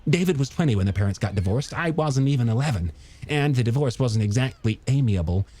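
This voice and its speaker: A man voicing an eager, chatty, self-deprecating younger man in an audiobook, with halting, animated delivery. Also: mid-range voice, breathy edge. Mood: frank, wry. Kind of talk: audiobook character dialogue.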